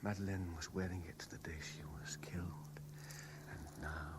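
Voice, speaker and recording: A man's voice: deep voice, fairly even intonation, moderate pace, natural voice. An actor playing an obsessed, domineering man in a radio drama, insistent and intense in his delivery. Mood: intense, accusing. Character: obsessed, domineering man haunted by a dead lover. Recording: noisy 1970s radio broadcast.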